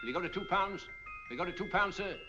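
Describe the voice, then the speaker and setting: A jovial auctioneer who coaxes bids with salesman patter. His rides a lively, singsong pitch. The old film sound is dull, with music playing beneath. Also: fast talker. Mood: coaxing. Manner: chatty salesman patter, coaxing and chuckling. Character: jovial fast-talking London auctioneer, male, middle-aged. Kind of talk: 1940s film dialogue.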